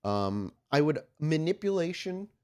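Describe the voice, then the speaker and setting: A man's voice: a little high, big pitch swings, unhurried, mellow. A man narrating a tabletop roleplaying game as game master in a conversational, descriptive way.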